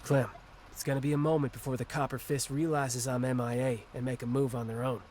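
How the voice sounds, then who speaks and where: A composed tactician, played by a man, with a mid-pitched voice. He lays things out precisely and seriously, over faint ambient noise. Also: little pitch movement, a little fast, a little mellow, close to an everyday voice. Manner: precise, matter-of-fact. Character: composed, methodical male military strategist ally. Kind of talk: video game dramatic dialogue.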